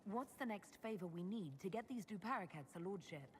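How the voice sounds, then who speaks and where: An actress playing a serious, composed female hero and leader in a video game, speaking in a measured way. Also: medium pitch, expressive, slight background noise, lightly acted. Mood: inquiring. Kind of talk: video game dialogue acting.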